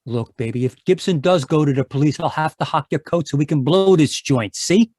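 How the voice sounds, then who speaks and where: An actor playing a hot-tempered American small-time crook in an old-time radio crime drama, talking in gruff, slangy tough-guy style. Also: fairly high pitch, a little fast, darkish tone, no room sound, clean studio sound, natural voice. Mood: urgent, scheming. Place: performed from home on a video call.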